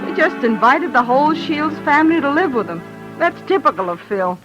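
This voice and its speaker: A woman's voice: high voice, expressive, a little fast, lo-fi sound. An actress playing a chatty local woman sharing gossip in a 1940s-style radio drama. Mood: gossipy, confiding. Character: chatty local women recounting gossip to police. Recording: old radio drama over loud music.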